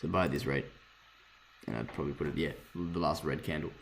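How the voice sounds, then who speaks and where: A man with a hoarse voice casually thinking aloud while reviewing trading charts, rambling, swearing freely and correcting himself. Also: very deep voice, fast, slight background noise. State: hoarse, lost voice from screaming. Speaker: forex trader reviewing charts.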